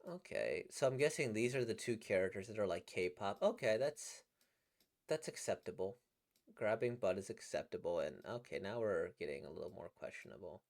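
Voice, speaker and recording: Slightly squeaky man rambling playfully. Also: animated, normal speed, vocal fry, a little mellow. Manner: rambling, playful, laughs at his own jokes. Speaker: voice actor reacting to comics. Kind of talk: casual reaction commentary.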